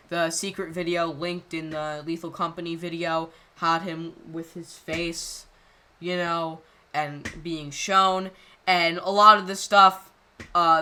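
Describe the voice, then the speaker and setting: A woman creator talking casually over a video, rambling and halting with lots of fillers. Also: fairly low pitch, bright, forceful tone. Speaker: channel creator.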